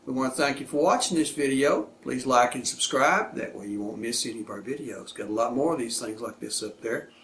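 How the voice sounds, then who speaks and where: A man's voice: mid-range voice, lively intonation, brisk, hardly any pauses, a bit bright. A man hosting a casual unboxing demonstration, speaking in short, plain, folksy phrases. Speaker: product reviewer and video host. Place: indoors, echoey room. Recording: echoey room with clear background noise.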